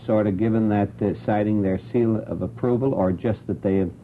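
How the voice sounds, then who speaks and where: A man's voice: on the low side, fairly even intonation, a little fast. A man hosting a radio talk show, putting probing questions to his panel of guests. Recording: muffled old radio broadcast, clear hiss.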